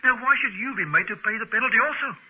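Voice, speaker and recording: A man acting as a blunt, practical farmer in an old radio drama, brisk and questioning. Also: very high-pitched, expressive, breathy edge, full character voice. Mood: urgent, persuasive. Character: Dirk, blunt practical farmer, startled but scheming. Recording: tinny, noisy vintage radio recording.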